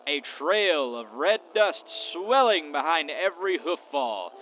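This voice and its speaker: A man playing a dramatic western radio serial narrator, theatrical and deliberate. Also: fairly high pitch, expressive, soft background music. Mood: grand, sweeping. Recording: tinny band-limited audio with clear noise.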